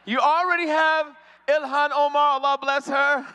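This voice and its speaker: A man's voice: very high-pitched, fairly even intonation, normal speed, pushed and bright, slight background noise. A man doing stand-up at a convention, teasing the crowd and laughing often. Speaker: stand-up comedian. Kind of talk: stand-up comedy. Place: convention hall stage.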